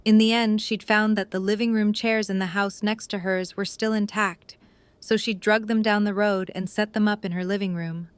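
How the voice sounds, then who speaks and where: A woman's voice: mid-range voice, fairly even intonation, normal speed, clear, resonant tone, dry recording. Woman narrating in a dry, close recording. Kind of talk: scripted story narration.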